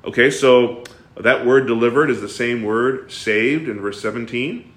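Animated man teaching a Bible class. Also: medium pitch, measured pace, echoey room. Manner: animated, asks the class questions, frequent fillers and restarts. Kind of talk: interactive bible study teaching.

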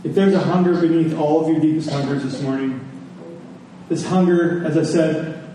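Guy preaching to a congregation, kinda high mellow voice, repeats phrases for emphasis, super echoey. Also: steady tone, normal speed. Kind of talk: sermon to a congregation. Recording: very echoey room, distant mic, faint noise.

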